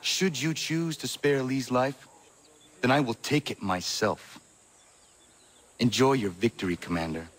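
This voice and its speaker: A man acting a resolute young warrior who speaks gravely and deliberately in a video game cutscene. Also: medium pitch, lightly acted. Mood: cold anger. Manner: stern, deliberate, accusing.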